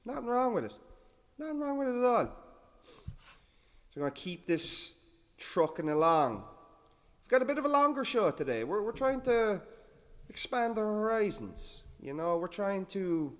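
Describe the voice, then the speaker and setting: A man's voice: sits high, big-room sound. Laid-back man hosting a show. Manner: laid-back, self-deprecating, rambling with restarts. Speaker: radio-style show host. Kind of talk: casual rambling show patter.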